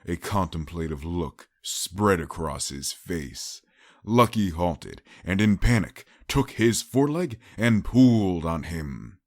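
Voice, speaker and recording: A man's voice: sits low, animated, slow, dry recording, clean studio sound. A man reading a scripted story as an audiobook narrator, in a dramatic storytelling style.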